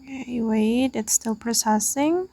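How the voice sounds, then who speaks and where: A woman's voice: a little high, unhurried, vocal fry, heavy background noise. A woman hosting a casual step-by-step screen tutorial, speaking informally as if to friends. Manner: informal, addresses viewers as guys. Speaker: tutorial host.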